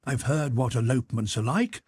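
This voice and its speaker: A man reading aloud voices a swaggering young rake who barks orders loudly. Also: mid-range voice, normal speed, husky, no room sound, clean studio sound, close to an everyday voice. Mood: knowing. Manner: loud, bossy, barking orders. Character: brash young male rake, swaggering and commanding.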